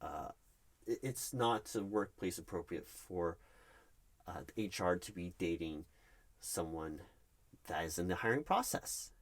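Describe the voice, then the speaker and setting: Man acting as a nervous, stammering low-voiced recruiting manager. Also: expressive, measured pace, pauses often. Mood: flustered, hesitant. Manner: stammering, hesitant, awkwardly polite. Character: nervous, flustered, polite male recruiting manager. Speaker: roleplay performer. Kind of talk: one-sided interview roleplay.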